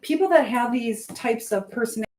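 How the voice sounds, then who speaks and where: A woman's voice: on the high side, expressive, quick pace, darkish tone. A middle-aged American woman hosting a casual live stream, chatting in a rambling way as she answers viewers and drifts between asides.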